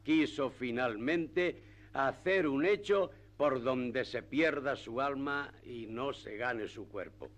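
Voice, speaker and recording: An actor playing a sly, learned village priest in a radio play, speaking with measured, rhetorical emphasis. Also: male voice, mid-range voice, close to an everyday voice. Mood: righteous indignation. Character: sly, learned male village priest, feigning righteous indignation. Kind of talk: classic radio theatre acting.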